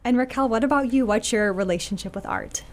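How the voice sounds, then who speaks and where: A woman's voice: fairly high pitch, brisk. A woman hosting a podcast, reading a scripted intro and asking guests questions in an upbeat, encouraging way. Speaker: podcast host.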